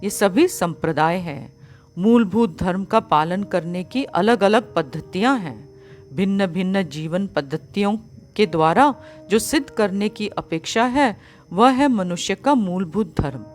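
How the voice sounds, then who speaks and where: A woman reading a spiritual discourse as scripted narration, in a measured, formal, didactic manner. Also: mid-range voice, expressive, soft background music. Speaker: audiobook reader of a spiritual book.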